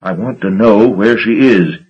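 A man voicing a gruff, hot-tempered steamboat captain who blusters and curses. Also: on the low side, animated, moderate pace. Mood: angry demanding. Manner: blustering, demanding, cursing. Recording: dull lo-fi recording.

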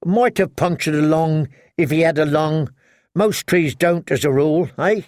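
A man's voice: on the high side, moderate pace, a little mellow, no room sound, clean studio sound, close to an everyday voice. A man doing a mournful, gloomy soldier. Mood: wry. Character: gloomy, mournful man of the watch, middle-aged.

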